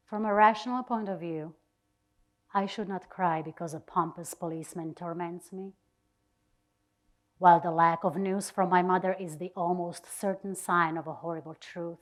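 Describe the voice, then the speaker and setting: A woman's voice: fairly low pitch, fairly even intonation, moderate pace. A woman giving a dramatic reading of a personal diary to an audience. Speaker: reader at a literary event.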